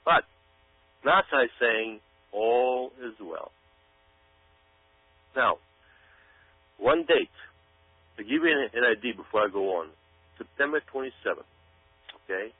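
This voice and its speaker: A man's voice: mid-range voice, lively intonation, unhurried, pauses often, dry recording. A man delivering an emphatic, dramatic commentary to listeners, repeating himself for effect. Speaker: guest commentator. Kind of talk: commentary monologue addressed to listeners. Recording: tinny phone-like radio sound with hum.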